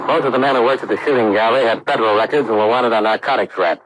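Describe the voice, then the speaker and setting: An American actor playing a brisk, hard-boiled investigator who narrates his case in clipped, matter-of-fact 1950s radio style. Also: mid-range voice, a little hiss or hum, close to an everyday voice, low-quality recording. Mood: brisk, reflective. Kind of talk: 1950s radio detective acting. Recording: vintage radio drama recording.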